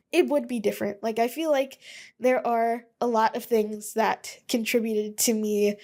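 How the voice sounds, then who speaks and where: A woman's voice: on the high side, darkish tone. A young woman from Alabama hosting her own podcast, rambling chattily with lots of fillers. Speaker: podcast host. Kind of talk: casual solo podcast monologue.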